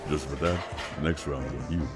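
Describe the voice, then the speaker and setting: A man playing a steady senior agent who keeps the peace in a drama, measured and calming. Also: very deep voice, music in the background. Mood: easygoing. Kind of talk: dramatic dialogue.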